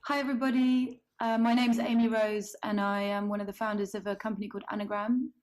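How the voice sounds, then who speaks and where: Flat-voiced woman introducing herself. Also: medium pitch, fast talker. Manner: relaxed, conversational. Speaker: panelist, company founder. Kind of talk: self-introduction on a panel.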